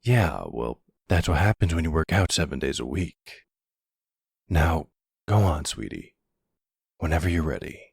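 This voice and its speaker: Man acting a very deep, gravelly mafia boss. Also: big pitch swings, no echo, studio quality, heavily acted. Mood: gently teasing. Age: middle-aged. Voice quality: gravelly, husky, breathy. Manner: soothing, unhurried, full of pet names. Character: older silver-fox mafia boss, protective, tender lover. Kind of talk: intimate ASMR boyfriend roleplay acting.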